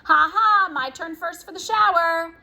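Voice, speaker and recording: A woman's voice: on the high side, big pitch swings, moderate pace, sharp tone, slightly rough, heavily acted. A woman voicing a rude, petulant father who taunts like a child in a children's story. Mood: gloating.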